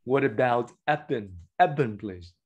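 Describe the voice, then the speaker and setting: A man hosting an online teachers' meeting, calling on each teacher in turn and filling pauses with ums. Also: medium pitch, animated, breathy edge, highs cut off. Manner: slow, hesitant with many fillers. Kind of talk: hosting a video call.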